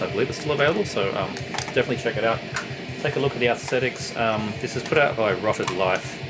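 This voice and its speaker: Low-voiced man reviewing over music. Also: rough recording. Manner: enthusiastic, rambling. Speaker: music reviewer presenting a video. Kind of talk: casual, unscripted review chat.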